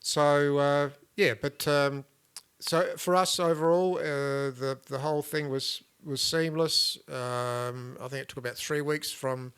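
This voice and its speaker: Smooth-voiced man, easygoing ramble. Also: a little high, a little slow. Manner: relaxed, rambling, with many fillers and restarts. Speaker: vlog host. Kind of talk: casual chat.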